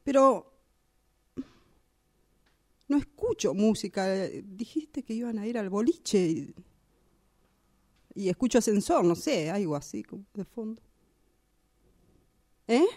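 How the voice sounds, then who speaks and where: A woman's voice: medium pitch, animated, slow, pauses often, warm tone. A woman with an Argentine Rioplatense accent playing a hurt, resentful partner on the phone in a radio drama.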